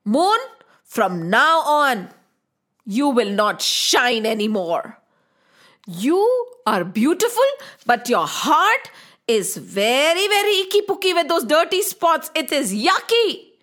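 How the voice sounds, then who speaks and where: A proud, big-bellied young elephant god from a children's tale, voiced by a woman. The voice is high and lively, with emphatic, moralizing delivery and a touchy temper. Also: unhurried, exaggerated voice. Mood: upset, scolding. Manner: emphatic, moralizing, rhetorical questions.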